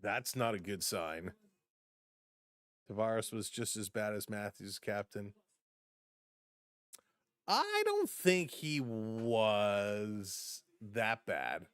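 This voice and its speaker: A man doing live play-by-play for a fan stream, switching between brisk calls of the action and chatty replies to his viewers, his voice starting to go hoarse. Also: fairly low pitch, lively intonation, fairly bright tone, dry recording, clean studio sound. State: voice getting hoarse. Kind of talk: live play-by-play and chat reactions.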